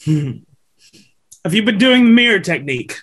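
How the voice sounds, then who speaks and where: A man playing a calm, officious school counsellor who recites official doctrine, at a script read over a video call. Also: high voice, normal speed, husky, exaggerated voice. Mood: measured, official.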